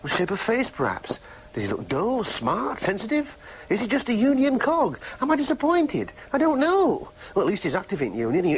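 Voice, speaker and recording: High-voiced, anxious young man, searching. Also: breathy edge, heavily acted, low-quality recording. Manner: self-questioning interior monologue. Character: anxious, hopeful, searching young man seeking his parents. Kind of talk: radio drama acting.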